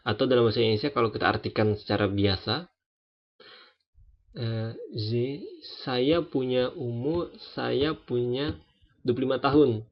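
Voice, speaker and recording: Young male tutor, 20s, gravelly low-middle voice, loose and hesitant delivery, dull lo-fi sound. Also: normal speed, long pauses. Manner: relaxed, hesitant, many fillers and restarts. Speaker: French language teacher. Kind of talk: casual spoken lesson. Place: France. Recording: dull lo-fi recording.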